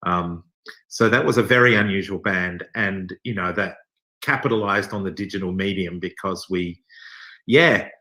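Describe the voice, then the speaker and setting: Low, bright-voiced Australian man. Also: moderate pace. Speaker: theatre company director being interviewed. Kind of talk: casual interview conversation.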